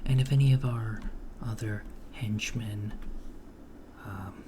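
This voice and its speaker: A soft, half-whispered man playing a villainous hiring officer, polite and matter-of-fact in an ASMR roleplay. He talks very slowly and haltingly, with little rise or fall in pitch. Clear background noise and keyboard typing sit behind him. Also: steady tone. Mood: businesslike. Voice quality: soft-spoken, often slipping into whisper. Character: polite, bureaucratic male henchman recruiter at an evil corporation. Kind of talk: ASMR roleplay, improvised job interview. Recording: clearly noisy close recording, keyboard typing. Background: computer keyboard typing.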